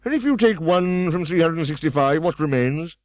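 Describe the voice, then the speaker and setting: Storybook role: a boastful, prickly egg-man, done by a man with a high, loud, swooping voice that is very dry. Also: animated, dry recording, close to an everyday voice. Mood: lecturing. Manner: grand, boastful, quick to take offence. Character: pompous touchy egg man on a wall.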